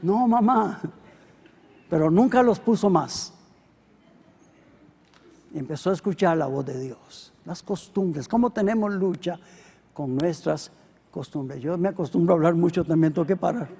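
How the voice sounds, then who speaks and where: A middle-aged Costa Rican pastor preaching a sermon, swinging between loud emphatic peaks and quiet low asides. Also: male voice, measured pace, creaky, pauses often, husky. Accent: Costa Rican Spanish. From Costa Rica. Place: church hall. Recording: long hall reverb.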